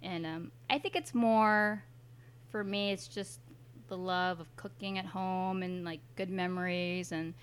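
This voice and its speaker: A woman's voice: on the low side, fairly even intonation, measured pace, clean tone. A woman with a Californian American accent, chatting casually and telling personal stories, often acting out bits of dialogue. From San Francisco Bay Area, United States. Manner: chatty, quotes dialogue as she tells stories. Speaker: interview guest, kitchen manager. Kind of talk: casual conversational storytelling.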